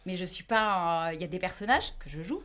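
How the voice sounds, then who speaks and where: A woman's voice: fairly low pitch, fast. Chatty young woman comedian, laughing often. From west of Lyon, France. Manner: lively, chatty, laughs between phrases, trails off in restarts. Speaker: comedian guest promoting her stage show. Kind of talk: casual interview chat.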